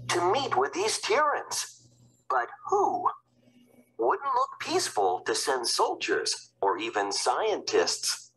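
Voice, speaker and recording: A woman's voice: fairly low pitch, huge pitch swings, slow, fairly bright tone, voice pushed up, heavily acted, low-quality recording. A woman voicing a busy, hearty, self-assured male American president in a children's storybook, with an emphatic, explanatory manner. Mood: harried, musing. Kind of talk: children's book read-aloud.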